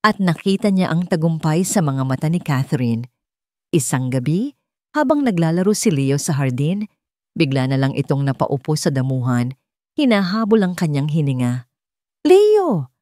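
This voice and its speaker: A woman's voice: low-pitched, animated, normal speed, darkish tone, dry recording, clean studio sound. A woman with a Filipino accent reading dramatic scripted narration as a storyteller.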